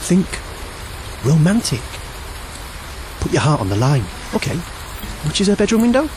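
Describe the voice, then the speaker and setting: A man's voice: fairly high pitch, very animated, moderate pace, background noise. A man voicing an awkward, insecure young man who hesitates and stammers, over music. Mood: unsure. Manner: hesitant, stammering, self-deprecating.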